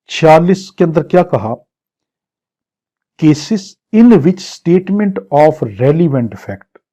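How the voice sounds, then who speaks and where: A man giving a law lecture, reading English legal clauses slowly and then explaining them. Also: fairly high pitch, warm tone. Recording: dull lo-fi recording.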